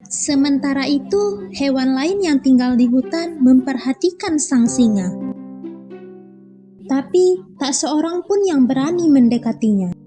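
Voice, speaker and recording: A young woman from Indonesia, a student, calmly narrating a children's fable. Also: sits high, unhurried, soft background music. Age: young adult. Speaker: student storyteller. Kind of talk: scripted children's story narration.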